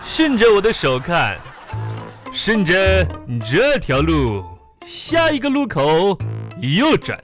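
A high-voiced, showy gentleman suitor swoops theatrically up and down through his lines. He courts with drawn-out, exaggerated gallantry in comic radio-drama style, over a music bed. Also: wildly swooping pitch, exaggerated voice. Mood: courtly, showy. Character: smooth-talking suitor, a self-styled film producer, gallant and showy.

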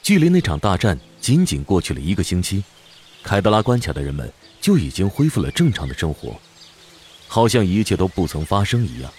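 A man's voice: deep voice, huge pitch swings, a little fast, a little mellow, a little hiss or hum. A man giving scripted narration as storyteller of a fantasy radio drama.

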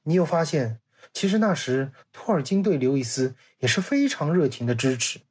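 Husky man telling a dramatized story. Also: on the high side, moderate pace. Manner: animated storytelling, acting out quoted dialogue. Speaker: guest storyteller. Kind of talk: dramatized scripted narration in radio-play form.

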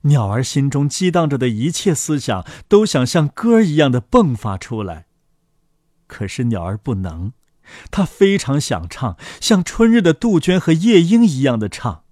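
A man narrating a fairy tale for children as the host, in an expressive scripted storytelling style. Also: mid-range voice, moderate pace, no room sound, studio quality.

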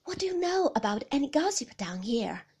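A woman reading an audiobook voices a moody, brooding older husband in a slow, hushed half-whisper. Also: high voice, big pitch swings, pitched above the performer's own voice.

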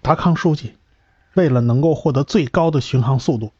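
Man playing a husky, precise space expert. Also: medium pitch, a little fast, mellow, no room sound, muffled recording, close to an everyday voice. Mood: firm, explanatory. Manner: explanatory, exact. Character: firm, precise male space mission expert.

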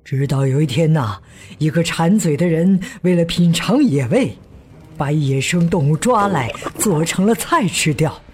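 An actor playing a gentle father who patiently explains things to his child in simple words, in a children's radio drama. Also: a little high. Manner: slow, simple explaining with soft sentence particles.

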